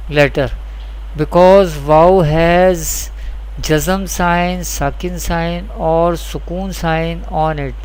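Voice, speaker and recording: A teacher giving a scripted Quran recitation lesson, explaining deliberately through repeated questions and answers, with spelled-out examples. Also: medium pitch, a little mellow, clean tone, poor audio.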